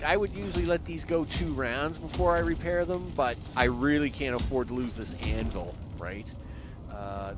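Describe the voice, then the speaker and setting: A man in his early fifties streams a game live, chatting loosely to himself and his viewers with plenty of "uh" and "you know". His voice sits at a medium pitch, and steady noise hums under the recording. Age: 50s. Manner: rambling, chatty, talks to himself and chat with lots of fillers. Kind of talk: casual gameplay commentary.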